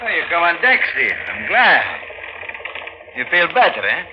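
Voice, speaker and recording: A humble, questioning deckhand with a foreign accent and broken English. His voice is high and very lively in pitch, in 1940s radio drama style. The old recording is dull and noisy. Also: moderate pace. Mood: mild, curious. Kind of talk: 1940s radio mystery acting.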